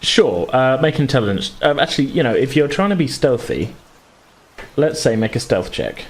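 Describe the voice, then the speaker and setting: A man narrating a tabletop role-playing game as game master, in a halting, conversational way. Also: medium pitch, very animated. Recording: clear background noise, room ambience.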